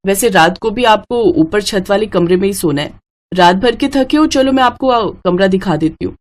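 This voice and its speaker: A woman's voice: mid-range voice, animated, moderate pace, lightly acted. A woman voicing a polite, helpful young sister-in-law in a family story, chatty and respectful.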